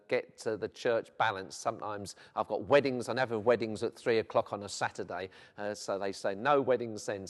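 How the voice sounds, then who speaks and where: A middle-aged man with a South London accent, a vicar talking about his life in a documentary interview, chatty and rambling with many fillers. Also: fairly low pitch. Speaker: vicar and football club chaplain. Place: church. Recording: long hall reverb.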